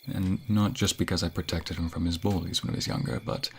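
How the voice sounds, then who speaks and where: Casting: male actor as tender fantasy king for ASMR romance, lowish gravelly voice, flirtatious secretive murmur. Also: fairly even intonation, fairly quick, slight background noise. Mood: fond, confiding. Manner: tender, hushed, breathy murmuring, often whispering. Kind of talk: intimate ASMR romantic roleplay.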